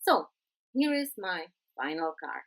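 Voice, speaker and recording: A woman with a Czech accent, a non-native English speaker, narrating a card-making tutorial in a halting way with many pauses. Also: on the low side, sing-song. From Czech Republic. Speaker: crafting tutorial host.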